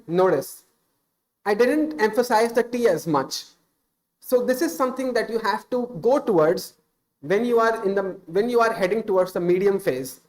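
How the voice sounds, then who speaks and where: A man's voice: high voice, darkish tone. Earnest Indian man giving speaking tips. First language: Hindi. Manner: earnest, unscripted, with filler "uh" and self-corrections. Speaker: streamer giving English tips. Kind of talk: casual spoken advice on a stream.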